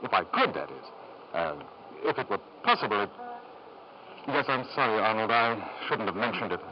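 A man's voice: lowish voice, sing-song, normal speed. A man acting a kindly, hesitant family doctor and loyal friend in a 1950s radio drama. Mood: gentle, uneasy. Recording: muffled, noisy 1950s radio broadcast.

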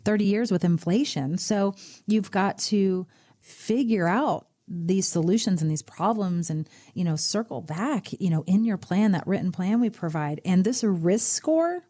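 A middle-aged woman co-hosting a casual financial talk show, animated and quick with exclamations. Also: mid-range voice. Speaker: podcast co-host, financial advisor. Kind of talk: casual radio chat.

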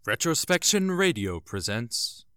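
A man's voice: mid-range voice, very animated, unhurried, music in the background. A man hosting and narrating a scripted show in the manner of 1930s and 40s radio. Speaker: show creator, host and narrator.

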